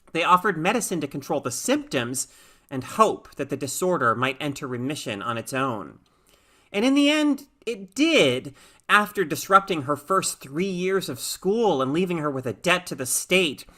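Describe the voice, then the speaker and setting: Woman reading a short story out loud, bright voice, careful storyteller delivery. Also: low voice. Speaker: story reader. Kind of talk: expressive audiobook-style narration of fiction.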